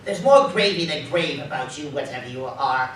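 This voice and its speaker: An actor playing a crotchety, frightened old miser in a live radio drama, with a theatrical, exclamatory delivery. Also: male voice, fairly high pitch, expressive, moderate pace, a bit bright. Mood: scoffing, defiant. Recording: very echoey hall, distant, faint noise.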